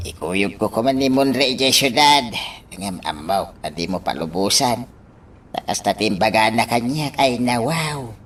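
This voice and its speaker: A man's voice: medium pitch, lively intonation, a little slow, fairly bright tone, slight background noise. A man acting an exasperated, pleading character in an Ilocano radio drama.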